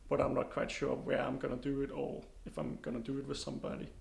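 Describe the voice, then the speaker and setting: A young man, chatting casually to a handheld camera about his training day, relaxed and full of fillers. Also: medium pitch, fast, gravelly. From Denmark. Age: young adult. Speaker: kayak athlete vlogger. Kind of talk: casual vlog narration. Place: apartment living room indoors, then car and outdoors. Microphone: handheld camera at arm's length.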